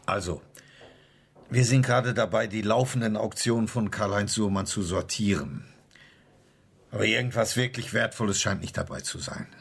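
An actor playing a brisk, matter-of-fact police investigator in a radio crime drama, dry and clipped. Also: male voice, fairly low pitch, gravelly, husky. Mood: polite, factual. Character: brisk, businesslike male police investigator, dryly sure of himself.

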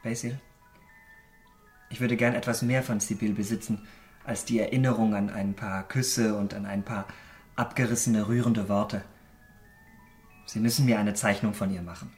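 An actor playing a vain, callous young aesthete in a German radio drama, eloquent and theatrical. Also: male voice, on the low side, steady tone, normal speed, pauses often, a little mellow, soft background music, natural voice. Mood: soft, pleading. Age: young adult.

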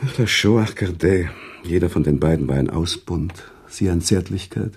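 An actor playing a worldly, sentimental male storyteller in a radio drama, teasing and emotional, with dramatic pauses and expressive swells. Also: heavily acted. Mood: moved, nostalgic. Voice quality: husky, gravelly low voice. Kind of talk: German radio drama acting, conversational storytelling.

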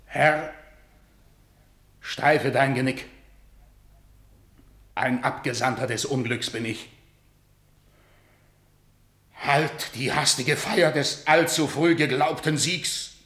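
An actor playing a grim messenger of defeat in a radio drama, declaiming solemn stage verse with a rhetorical manner. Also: male voice, medium pitch, fairly bright tone, echoey room. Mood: solemn, urgent. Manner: solemn, rhetorical, measured delivery. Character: grim male battle messenger bearing news of catastrophic defeat.

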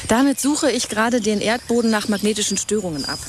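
Young female student played by an actress, mid-pitched, earnest and factual, faint outdoor insect noise. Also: fast talker, close to an everyday voice. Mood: earnest explaining. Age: young adult. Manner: matter-of-fact, explanatory.

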